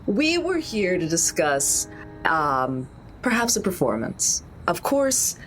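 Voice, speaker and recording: A woman's voice: on the low side, sing-song, takes it slowly, a bit bright, soft background music, voice pushed up, heavily acted, rough recording. Woman playing a swooping, gushing celebrity starlet. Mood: coaxing. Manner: gushing, theatrical. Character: glamorous celebrity artist, charming, smooth-talking, breezily condescending. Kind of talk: in-character tabletop roleplay.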